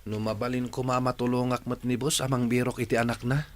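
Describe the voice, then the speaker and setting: A man's voice: medium pitch, flat delivery, fast talker, close to an everyday voice. An actor playing an earnest, helpful young man in a radio drama, talking conversationally.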